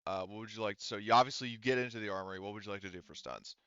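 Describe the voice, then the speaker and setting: A man with a smooth, fairly low voice runs a tabletop game as its game master. He talks casually and haltingly, working things out aloud. The sound is close and dry, though a little dull. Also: bright, forceful tone, no echo. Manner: halting, thinking aloud mid-sentence.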